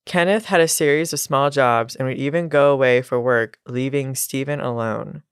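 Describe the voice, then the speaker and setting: A woman's voice: a bass voice, moderate pace, clean tone, dry recording, clean studio sound. A nasal, congested woman telling a true crime story on a casual podcast, with laughs and asides. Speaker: podcast co-host.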